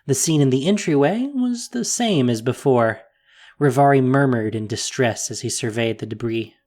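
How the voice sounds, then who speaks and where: Man narrating a fantasy story with lively pitch. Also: moderate pace.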